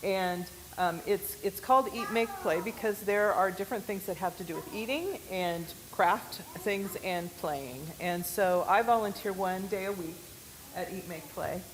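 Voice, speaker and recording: A woman with a loud, projecting voice, chatting informally to a church gathering and restarting her sentences often. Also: mid-range voice, unhurried, background noise. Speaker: church volunteer sharing a story. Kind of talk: informal talk to a congregation.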